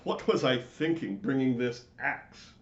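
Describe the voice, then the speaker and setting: A man voicing a practical, pleased father in a storybook, brisk and musing. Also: mid-range voice, expressive, roomy sound, highs cut off, a little hiss or hum, close to an everyday voice.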